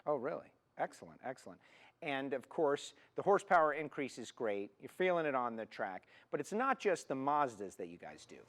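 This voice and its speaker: A man's voice: mid-range voice, very animated, fairly quick, fairly bright tone. A man hosting an automotive TV show, presenting and interviewing in an upbeat, enthusiastic way. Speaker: TV show host.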